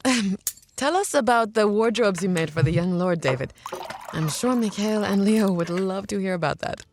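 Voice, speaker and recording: A woman playing a caring, gently firm mother in an audio drama, with a warm, slightly hesitant manner. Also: mid-range voice, expressive, moderate pace, slight background noise, lightly acted. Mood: gently coaxing.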